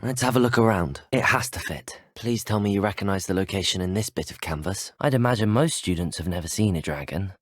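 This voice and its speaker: A man's voice: low-pitched, brisk, few pauses, no room sound, studio quality. A young man with a British accent voicing a courteous, earnest teenage wizard in a video game, well-spoken and formal. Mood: confident, curious. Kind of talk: scripted video game dialogue.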